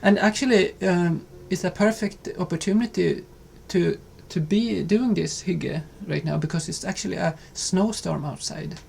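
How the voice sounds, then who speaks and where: Calm man with a gravelly voice. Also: sits high, normal speed, few pauses, a little hiss or hum. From Sweden. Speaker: vlog co-host. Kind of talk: casual chat and sponsor read.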